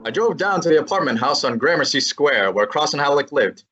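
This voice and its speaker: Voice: mid-range voice, animated, fairly quick, bright, forceful tone, slightly rough, soft background music. A young actor voicing a wisecracking male assistant detective in an old-time radio drama, with a brash, slangy delivery. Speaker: student actor. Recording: slightly dull lo-fi sound.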